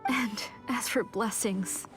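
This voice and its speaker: A woman voicing a grief-stricken, self-loathing mother with a halting, anguished delivery in a dramatic video game scene. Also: a little high, fairly bright tone, faint music behind. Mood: anguished, bitter.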